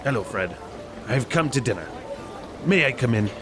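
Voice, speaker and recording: A man's voice: mid-range voice, expressive, moderate pace, over music, close to an everyday voice. A man playing an elderly reformed miser in an audio drama, theatrical, exclamatory and giddy with joy. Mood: shy, warm. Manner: theatrical, exclamatory, bursting into laughter.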